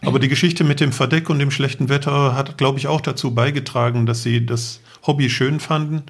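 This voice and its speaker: A middle-aged man with a gravelly, mid-pitched voice. He speaks as a podcast guest, recounting memories in an informal storytelling style. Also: fairly even intonation, a little fast. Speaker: podcast guest, travelling father. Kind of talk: casual anecdotal storytelling.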